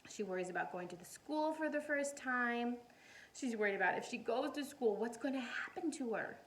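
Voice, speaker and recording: A woman's voice: on the high side, lively intonation, normal speed. A woman reading a picture book aloud expressively to young children, adding simple explanations as a teacher would.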